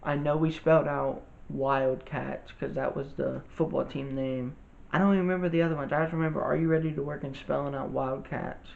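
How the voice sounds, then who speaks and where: A young man talks through a rambling storytime for his channel, his slightly high voice carrying a gravelly fry. The recording sounds a bit dull and lo-fi. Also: fairly even intonation, a little fast, a little mellow. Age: young adult. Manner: rambling, informal, with many restarts and fillers. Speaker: vlogger. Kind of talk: casual storytime monologue.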